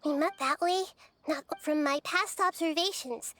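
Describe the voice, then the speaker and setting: A woman voicing a gentle, wise, childlike goddess in an anime game, speaking softly in a measured, thoughtful way. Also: sits high. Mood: calm, assured. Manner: soft, measured, storytelling.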